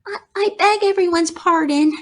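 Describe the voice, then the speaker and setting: A woman voicing an earnest, polite, excitable young male pig in a children's storybook. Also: very high-pitched, normal speed, voice pushed up. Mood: meek, apologetic. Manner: formal, pleading, eager. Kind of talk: children's storybook read-aloud.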